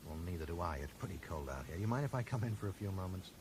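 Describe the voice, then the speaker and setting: Dogged bookseller detective in an 80s radio play, deepish, direct, dry humour, noisy recording. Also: male voice, expressive, very fast. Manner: direct, probing, wry. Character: dogged, dry-witted bookseller questioning people like an amateur detective. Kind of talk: 1980s radio drama acting. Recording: noisy 1980s radio broadcast.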